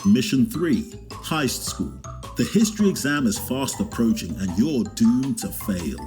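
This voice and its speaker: A man's voice: medium pitch, expressive, a little slow, natural voice. A man voicing a showy, theatrical announcer for a virtual school game, over music. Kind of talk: video game mission announcements. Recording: music behind the voice.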